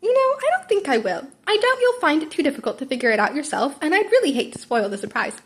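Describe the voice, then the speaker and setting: A woman's voice: high voice, big pitch swings, fast, pitched above the performer's own voice. A woman voicing a gleefully teasing male talking-animal principal in a polite, airy, coy manner for a story reading.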